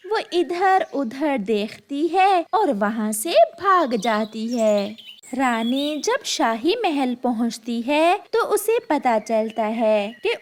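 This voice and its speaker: A woman narrating a scripted children's moral story, with faint noise in the recording. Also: a little high.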